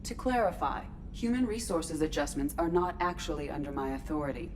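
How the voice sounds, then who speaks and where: Game AI: female ship computer, deep register, formal and precise, clear background noise. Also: expressive. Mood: detached, curious. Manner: formal, measured, detached. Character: calm, precise female starship artificial intelligence. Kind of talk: video game voice acting.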